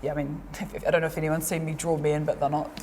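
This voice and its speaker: A young woman with a New Zealand accent chats through an interview, her lowish voice full of fillers and quick laughs. Her voice carries a long reverb with a faint hiss underneath. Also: little pitch movement, a little mellow. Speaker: artist guest. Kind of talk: casual interview chat.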